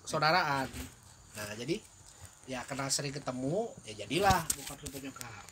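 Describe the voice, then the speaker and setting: Talkative young man with a bright tone. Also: mid-range voice, expressive, normal speed, slight background noise. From Indonesia. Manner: laughs often, tells stories with restarts and fillers. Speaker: guest. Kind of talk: casual chat.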